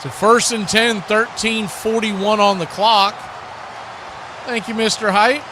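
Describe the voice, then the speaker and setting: High-voiced man calling football over loud noise. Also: fairly even intonation, takes it slowly. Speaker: play-by-play announcer. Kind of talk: live American football play-by-play commentary.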